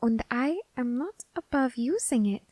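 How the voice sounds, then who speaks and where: A woman's voice: on the high side, lively intonation, a little mellow, no echo. A woman playing an eager, curious young Grim Reaper scholar in an ASMR roleplay, fascinated and politely menacing. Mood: playful threat. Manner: fascinated, deliberate, politely threatening. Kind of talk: ASMR roleplay addressed to the listener.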